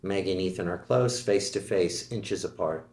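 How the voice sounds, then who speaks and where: A man reading the stage directions of a screenplay at a table read, in a plain, steady way. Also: deep voice, moderate pace, reverberant.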